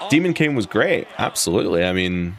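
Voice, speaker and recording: A man's voice: deep voice, sing-song, brisk, a crowd in the background. A man with an Edinburgh Scottish accent chatting casually while playing a wrestling game, rambling and restarting his sentences. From Scotland. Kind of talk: casual live commentary on gameplay.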